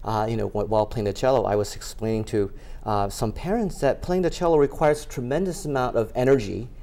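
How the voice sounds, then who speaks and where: A middle-aged man from Taiwan, a music school founder answering questions as a TV interview guest, halting with many fillers and restarts. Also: mid-range voice, warm tone.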